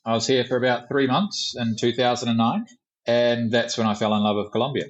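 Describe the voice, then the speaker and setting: A man's voice: medium pitch, a little fast, dull audio. A middle-aged man with a New Zealand accent, a guest talking casually and a bit rambly. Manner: relaxed, rambling, with fillers. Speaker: guest, tour company founder. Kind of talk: casual interview.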